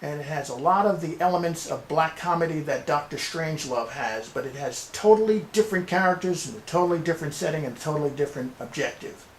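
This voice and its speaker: A man with a slightly high voice presents his creative work to camera. He speaks deliberately, in short separated phrases. The room is clearly echoey, with a faint hiss behind him. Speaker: playwright presenting his scripts. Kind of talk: semi-scripted video presentation. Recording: roomy echo with background hiss.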